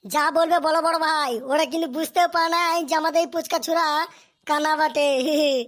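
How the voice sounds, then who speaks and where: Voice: high-pitched, flat delivery, brisk, fairly bright tone, no room sound, studio quality. A performer voicing a cheeky, crude, boastful younger brother in a slapstick comedy cartoon. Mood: gossipy, amused.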